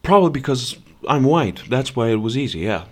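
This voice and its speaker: A man's voice: mid-range voice, big pitch swings, fairly quick. A young man from Serbia, hosting a podcast in a sarcastic, profane, blunt rant. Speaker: podcast host. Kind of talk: ranting monologue.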